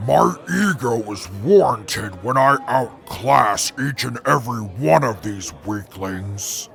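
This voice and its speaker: Guy voicing a big gravelly super mutant, super full of himself, pompous and slow. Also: mid-range voice, lively intonation, a bit bright, exaggerated voice. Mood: boastful. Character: boastful super mutant male brute, arrogant. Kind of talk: fan audio drama.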